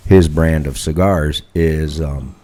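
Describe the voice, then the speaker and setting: A man co-hosting a casual podcast, rambling through a story with animated asides. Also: rumbling low, slow pace, slight background noise. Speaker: podcast co-host.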